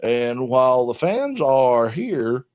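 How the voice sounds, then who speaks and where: A man's voice: mid-range voice, very animated, slow. A man co-hosting a casual wrestling talk show, folksy and drawn out in his delivery. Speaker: podcast co-host. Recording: dull lo-fi sound.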